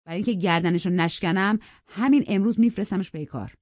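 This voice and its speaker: A storybook narrator plays a surly elder brother who bullies and threatens. He speaks gruffly and impatiently, as if always accusing. The recording is dry and a bit muffled. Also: medium pitch, darkish tone, no room sound, pitched above the performer's own voice, lightly acted. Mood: angry, threatening.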